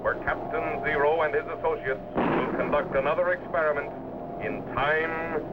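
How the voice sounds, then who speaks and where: An actor playing a solemn announcer of a 1950s science fiction serial, formal and dramatic. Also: male voice, fairly high pitch, steady tone, moderate pace, lightly acted. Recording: muffled vintage soundtrack, loud roaring noise.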